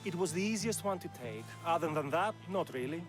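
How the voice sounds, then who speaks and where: Brief: male actor as a desperate farmer thief, high voice, pleading over music. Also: expressive, brisk, close to an everyday voice. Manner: defensive, pleading.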